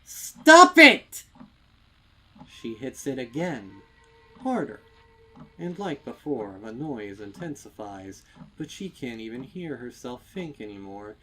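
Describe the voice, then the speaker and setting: A man narrating a dark story with intense, theatrical delivery and deliberate phrasing. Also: mid-range voice, expressive, breathy edge, low-quality recording. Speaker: fan fiction narrator. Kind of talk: dramatic scripted horror story narration.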